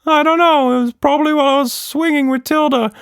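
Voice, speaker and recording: A man voicing an excitable, exclamatory male magical monster with many arms, in a children's story. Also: very high-pitched, fast talker, creaky, no room sound, studio quality, pitched above the performer's own voice, exaggerated voice. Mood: urgent, enthusiastic. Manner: enthusiastic, exclamatory.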